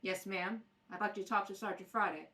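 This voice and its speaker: A woman playing a calm, businesslike policewoman with an understated, clipped delivery in a radio crime drama, recorded on a distant home microphone. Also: mid-range voice, a little fast, pitched below the performer's own voice.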